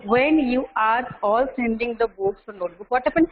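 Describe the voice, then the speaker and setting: A woman's voice: fairly high pitch, normal speed. A woman teacher with an Indian English accent, leading an online class and giving instructions, often checking in with "okay". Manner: instructive, repeats okay and listen. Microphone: video call. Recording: muffled online call with faint noise.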